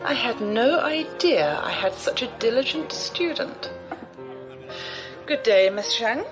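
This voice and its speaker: A woman playing a shrewd, imperious old noblewoman in an audio drama, measured, condescending and amused. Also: a little high, animated, music in the background. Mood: cool, intrigued.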